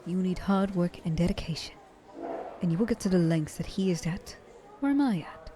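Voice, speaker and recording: Low, mellow flirtatious vampire woman acted by a woman. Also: animated, normal speed, slight background noise, natural voice. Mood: self-assured, then flirtatious, teasing. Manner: coaxing, intimate, dropping often into breathy whispers. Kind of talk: ASMR roleplay monologue to the listener.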